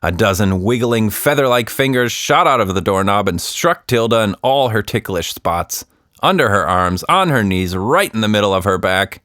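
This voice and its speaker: A man narrating a scripted children's story in an expressive storyteller's manner. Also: fairly low pitch, normal speed, no echo, clean studio sound. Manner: expressive, animated reading.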